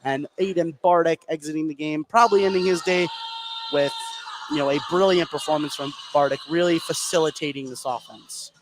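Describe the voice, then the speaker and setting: An American man calls a basketball game live for radio. His voice sits a little high, and he follows the play in short, excitable phrases. The live broadcast has clear background noise from the gym. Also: brisk. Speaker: play-by-play commentator. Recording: noisy live sports broadcast.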